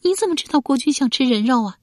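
A woman's voice: high-pitched, expressive, quick pace, a little mellow, no room sound, studio quality, pitched above the performer's own voice. A woman storyteller playing an anxious young mother from ancient times, questioning and pleading with her husband. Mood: shocked, alarmed. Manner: anxious, questioning, tearful when protesting. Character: frightened pleading young mother, wife of an ancient court cook.

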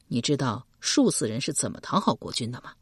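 A woman's voice: a bass voice, very animated, fairly quick, husky, dry recording, studio quality, pitched below the performer's own voice, exaggerated voice. A woman storyteller playing a ruthless, ambitious husband from ancient times, domineering and coldly persuasive. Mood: coaxing.

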